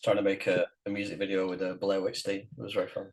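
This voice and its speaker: A man's voice: low-pitched, completely flat delivery, fast talker, slightly rough. A man in a band chatting casually during an interview. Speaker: band member being interviewed.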